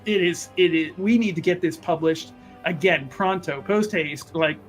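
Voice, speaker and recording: Higher-voiced man narrating a tabletop game. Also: normal speed, faint music behind.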